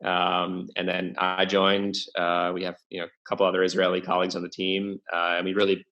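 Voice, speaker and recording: A man's voice: low voice, completely flat delivery, fairly quick, sharp tone, lo-fi sound. A young man speaking as a podcast guest in casual interview talk, hesitant, with many fillers and restarts. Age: young adult. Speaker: podcast guest, venture investor.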